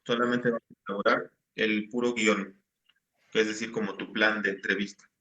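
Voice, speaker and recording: A man's voice: fairly low pitch, level tone, normal speed, muffled recording, noisy background. A man, patiently explaining assignments to his class over a video call. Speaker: teacher. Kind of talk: online class instruction.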